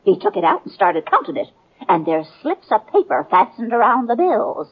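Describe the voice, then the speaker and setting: A feisty old American frontier woman scolds in a husky, highly animated voice. She is indignant, folksy and emphatic. The sound is a slightly muffled 1950s radio western. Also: normal speed. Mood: insistent, triumphant.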